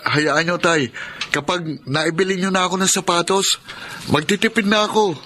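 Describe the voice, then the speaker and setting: An actor playing an eager, pleading young son in a Filipino radio drama. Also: male voice, high voice, steady tone, moderate pace, heavy background noise, close to an everyday voice. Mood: coaxing.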